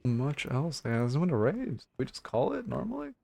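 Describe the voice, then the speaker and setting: A hoarse man giving blunt, rambling game coaching notes on a livestream, full of fillers and restarts. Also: medium pitch, big pitch swings, normal speed, darkish tone, no room sound. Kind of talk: casual livestream coaching talk.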